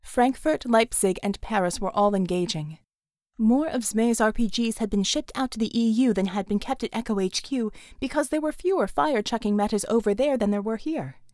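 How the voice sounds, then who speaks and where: A woman's voice: on the high side, normal speed, darkish tone, no echo, studio quality. A woman reading dramatic action fiction as a scripted audiobook narration.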